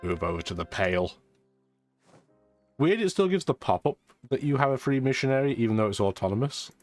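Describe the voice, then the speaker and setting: A man's voice: mid-range voice, animated, brisk. A man with a stuffy, bunged-up voice giving casual, dry, chatty commentary as he plays a strategy game. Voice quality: congested, stuffy nose. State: lingering sore throat, blocked sinuses. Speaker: gaming video host. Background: background music.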